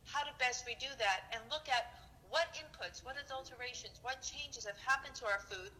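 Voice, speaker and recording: A middle-aged woman pediatrician in a phone interview, talking in a lively way, laughing often and acting out what she says to people. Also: high voice, normal speed, slight background noise, poor audio. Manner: lively, laughs often, acts out quoted remarks. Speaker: pediatrician guest. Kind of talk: phone interview, animated storytelling. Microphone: phone line.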